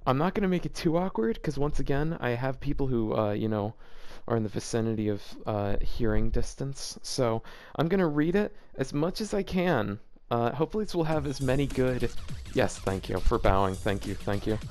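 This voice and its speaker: A man's voice: mid-range voice, animated, normal speed, hardly any pauses, darkish tone, dry recording, faint music behind. A man hosting a casual read-aloud of fan fiction, rambling and breaking off into joking asides. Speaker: host reading fanfiction aloud for a video series.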